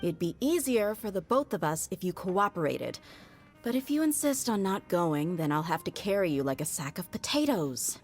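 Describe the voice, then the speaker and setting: A woman's voice: fairly low pitch, very animated, normal speed, faint music behind. An actress playing a tough, principled woman mercenary bodyguard in a game, blunt and casual in manner. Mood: firm. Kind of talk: game voice acting.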